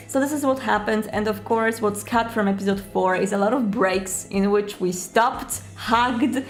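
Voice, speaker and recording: A woman speaking informally and expressively, telling a personal story and giving advice as a video host, with dramatic emphasis on key words. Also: a little high. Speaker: roleplay player and video host. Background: music.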